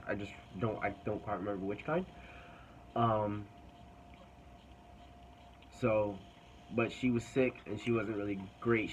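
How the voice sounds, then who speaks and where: A man's voice: lowish voice, slight background noise. A young man casually telling a personal story to camera, hesitant and rambling with many fillers.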